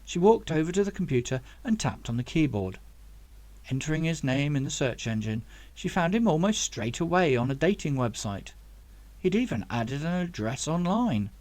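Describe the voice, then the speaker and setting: A man's voice: fairly high pitch, big pitch swings, moderate pace, darkish tone, no room sound. A man reading a story aloud as scripted audiobook narration, as the author of the book.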